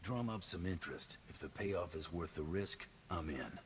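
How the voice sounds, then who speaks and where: A man playing a dry, guarded bounty hunter in a video game, speaking in a terse, deadpan way. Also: sits low, moderate pace, slight background noise. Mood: businesslike.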